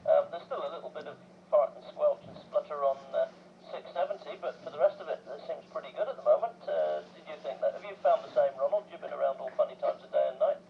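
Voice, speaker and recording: A chatty man talks over a dull, narrow two-way radio link. His voice is fairly high and gravelly, and his manner is jokey, often turning statements into questions. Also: fast talker. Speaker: amateur radio operator. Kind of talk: casual radio chat. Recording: muffled, noisy two-way radio transmission.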